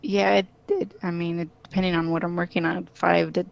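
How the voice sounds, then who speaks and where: A woman's voice: lowish voice, level tone, fairly quick, creaky. A woman in casual conversation, giving brief, reserved, agreeable answers. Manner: reserved, mostly short agreeing replies. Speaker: collaborator on visual novel development.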